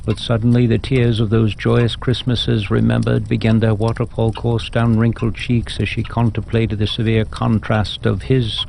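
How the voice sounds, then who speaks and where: A man narrating a scripted audio drama as a storyteller, in a measured, reflective manner. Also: mid-range voice, gravelly, a little mellow, slight background noise. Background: music.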